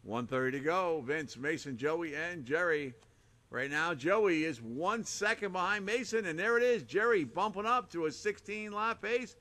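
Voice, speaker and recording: A man calling a live RC car race with a hoarse, fading voice, rattling off names and lap times in short bursts. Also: fairly high pitch, expressive, moderate pace, sharp tone. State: hoarse, losing his voice. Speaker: race announcer.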